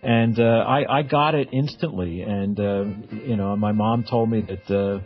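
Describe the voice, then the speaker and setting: A middle-aged man hosting a radio interview, chatting casually in a halting, reflective way. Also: on the low side, faint music behind. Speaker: radio show host. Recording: dull, lo-fi radio audio.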